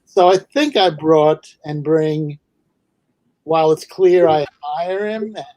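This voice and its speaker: A man from Manhattan with a New York accent, a guest author talking over a video call in a thoughtful, halting way. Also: a little high, darkish tone, dry recording, low-quality recording. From New York City. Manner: thoughtful, hesitant, many restarts. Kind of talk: casual video call interview.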